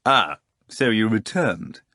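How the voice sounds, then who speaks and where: A man doing a slow, imperious dark lord. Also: on the low side, animated, no echo, clean studio sound, heavily acted. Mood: dry. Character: cold, commanding male prince of darkness.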